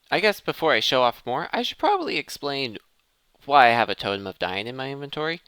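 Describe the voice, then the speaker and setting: A man hosts a gaming video in a casual, think-aloud style, often breaking off to restart a thought. His voice is bright and crisp, with animated swings in pitch. It is recorded close and dry. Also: no echo. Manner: self-interrupting, thinking aloud. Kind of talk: casual gameplay commentary.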